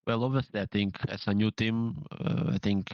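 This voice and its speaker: Low, flat-voiced man on a dull line. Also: dry recording. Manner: measured, searching for words. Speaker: professional gamer, in-game leader. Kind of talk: casual interview answers. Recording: dull lo-fi sound.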